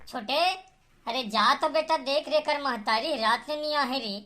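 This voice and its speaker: Woman acting a rustic cartoon mother, Chhattisgarhi accent, high-pitched, fretful and nagging, noisy audio. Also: unhurried. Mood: fretful, urging. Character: fretting village mother ordering her son about.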